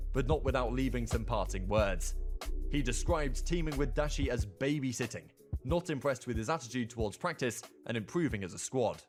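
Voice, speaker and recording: Man narrating an esports documentary. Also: mid-range voice. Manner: steady, measured storytelling.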